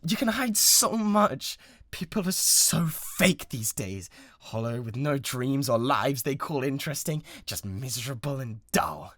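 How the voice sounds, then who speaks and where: A man acts out an obsessive young British yandere streamer in an intimate audio roleplay. His delivery is bratty and needy, swinging widely between hushed menace and insistent pleading. The recording is very dry and close to the listener. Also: mid-range voice, dry recording. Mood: bitter, confiding. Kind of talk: dramatised one-man roleplay addressed to the listener.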